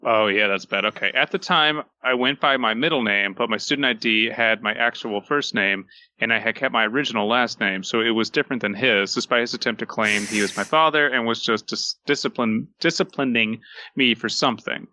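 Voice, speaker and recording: Guy on a podcast, sharp bright voice, kinda sardonic, reads and chats, no room sound. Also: mid-range voice, brisk, dry recording. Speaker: podcast co-host. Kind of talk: casual group banter and reading stories aloud.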